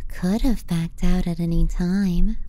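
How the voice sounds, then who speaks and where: A woman playing a possessive, menacing younger sister in an intimate ASMR roleplay, soft and breathy, often near a whisper. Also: on the low side, fairly even intonation. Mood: brooding, possessive. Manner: slow, tender yet threatening. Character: possessive, menacing little sister murmuring to sleeping brother.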